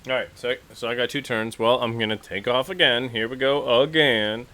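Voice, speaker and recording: A man's voice: mid-range voice, fairly even intonation, moderate pace, sharp tone. A man playing a tabletop role-playing game, chatting casually as he decides on his moves.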